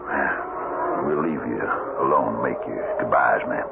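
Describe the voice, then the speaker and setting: An actor playing a gruff but decent middle-aged trail boss in an old radio western, courteous and halting, with a deep gravelly voice. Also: male voice, fairly even intonation, heavily acted. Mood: solemn, reasoning. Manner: hesitant, halting, formal courtesy. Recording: muffled, noisy old radio broadcast.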